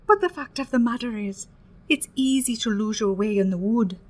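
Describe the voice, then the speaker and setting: A woman's voice: on the high side, moderate pace, lightly acted. A woman playing a motherly, superstitious Irish innkeeper in a radio play, folksy and emphatic, with an Irish accent. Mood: reassuring. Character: homely, superstitious Irish woman innkeeper, hospitable but guarded.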